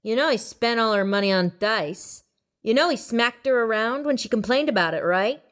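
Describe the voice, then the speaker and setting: A woman's voice: fairly high pitch, expressive, moderate pace, fairly bright tone, pitched above the performer's own voice. A woman voicing a sneering undead female marsh ghoul with a crude, gurgling, mocking manner.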